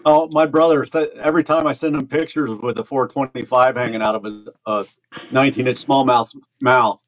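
A man's voice: mid-range voice, steady tone, fairly quick, poor audio. A man appearing as a guest on a casual podcast over a video call, speaking in a plain, matter-of-fact way. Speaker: podcast guest, tournament angler. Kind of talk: casual interview chat.

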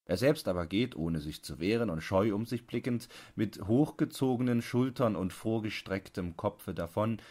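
Low-pitched man reading a literary story. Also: darkish tone. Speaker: audiobook narrator. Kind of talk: scripted literary narration.

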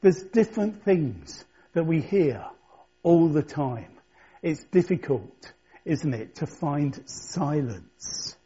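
Husky, high-voiced pastor preaching conversationally. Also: male voice, expressive, slow, warm tone. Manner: conversational, reflective, rhetorical questions. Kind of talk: sermon. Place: church. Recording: dull lo-fi church recording.

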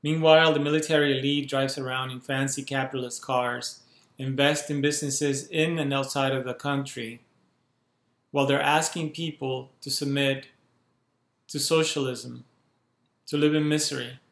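A man's voice: mid-range voice, steady tone, vocal fry, a bit bright. Earnest man in an echoey room. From Cuba. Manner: earnest and urgent, measured phrasing. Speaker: activist making an appeal. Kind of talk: earnest direct-to-camera appeal. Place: small room at home, indoors. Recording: roomy echo from a bare room.

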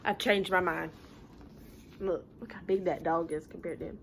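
A woman's voice: lowish voice, steady tone, normal speed, gravelly, slight background noise. A young woman, talking informally to pupils and parents in a folksy, plain-spoken way with rhetorical questions. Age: young adult. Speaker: schoolteacher. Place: living room at home.

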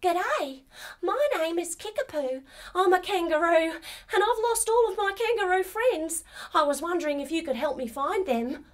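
A woman's voice: extremely high pitch, lively intonation, moderate pace, pitched above the performer's own voice. A woman voicing a tiny young female kangaroo who is friendly, polite and fearless in a children's story. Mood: friendly, hopeful. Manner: chirpy, polite, simple greetings and questions.

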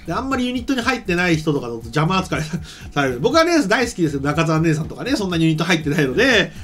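Man, middle-aged, rapid-fire speech, animated swinging pitch, chatty fan enthusiasm, casual co-host. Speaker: co-host, anime and game writer. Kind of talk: casual news chat on a streamed show. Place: small studio set, indoors. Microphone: desk microphone. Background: background music.

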